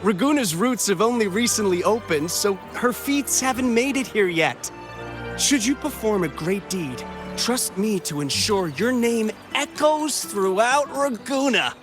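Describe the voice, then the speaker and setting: An actor playing a flamboyant, excitable male filmmaker in a video game, speaking with florid, courteous grandeur. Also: high voice, measured pace, music in the background. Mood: grand, eager. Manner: florid, theatrical, effusive. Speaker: film studio head, non-player character. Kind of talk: theatrical game voice acting.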